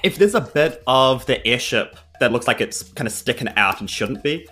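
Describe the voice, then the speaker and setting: A woman's voice: a bass voice, expressive, moderate pace, a bit bright, slightly rough. A woman playing a tabletop game on a podcast, with casual, joking banter and theatrical asides.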